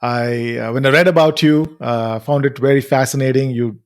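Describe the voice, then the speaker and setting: A man's voice: medium pitch, steady tone, normal speed. A man hosting a podcast interview, speaking hesitantly with many uhs and you knows. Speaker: podcast host. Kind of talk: casual interview.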